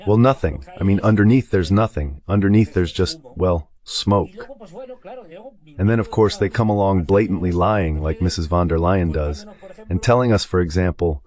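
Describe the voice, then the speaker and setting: Low-pitched man in translated political commentary. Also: moderate pace, darkish tone.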